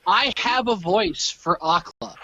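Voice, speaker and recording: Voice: mid-range voice, lively intonation, slow pace, bright, forceful tone, lo-fi sound, slight background noise. Stammering, laughing podcast player. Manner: stammering, laughing while talking. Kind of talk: casual chat.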